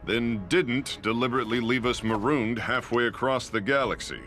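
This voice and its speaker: A male actor voicing an earnest, commanding superhero in an animated video game, speaking in firm declarations. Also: mid-range voice, normal speed, natural voice.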